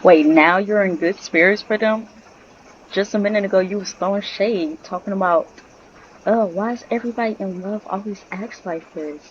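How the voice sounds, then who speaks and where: Lady gamer reacting to a game, slangy, lowish voice, muffled mic w some noise. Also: normal speed. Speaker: gaming channel host. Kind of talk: casual gameplay reaction commentary. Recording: dull lo-fi mic with hiss.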